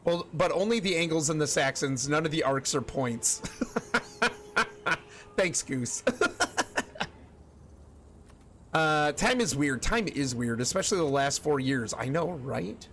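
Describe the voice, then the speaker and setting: A young man with a slightly raised voice talks loosely to his stream chat, stopping and restarting his sentences as he thinks out loud. Faint ambient noise sits under his voice. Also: fairly high pitch, lively intonation, slow pace, pauses often. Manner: chatty, thinks aloud with many restarts and fillers.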